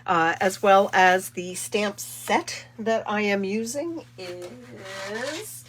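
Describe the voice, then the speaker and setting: A woman's voice: mid-range voice, extremely slow, fairly bright tone. A middle-aged woman hosting a casual live card-making demo, chatty and rambling, stuffy from a cold. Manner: chatty, rambling, self-correcting. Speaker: card-making channel host.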